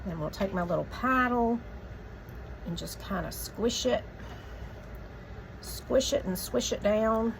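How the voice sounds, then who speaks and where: A woman hosting a craft tutorial, giving relaxed, chatty step-by-step instructions in a voice a little croaky from a cold. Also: fairly high pitch, slow, pauses often, noisy background.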